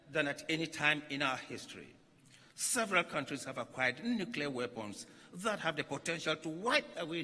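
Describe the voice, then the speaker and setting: A man, a religious leader reading a formal conference message aloud with emphatic, deliberate oratory and repeated phrases for weight. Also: medium pitch, sharp tone, slightly rough. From Ghana. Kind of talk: formal read-out conference speech. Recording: long hall reverb.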